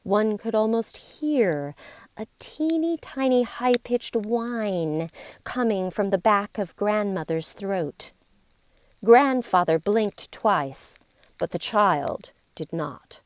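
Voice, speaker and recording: A woman narrating a scripted story in a deliberate, theatrical way, with dramatic pauses and emphasis. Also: mid-range voice, no room sound. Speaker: podcast storyteller.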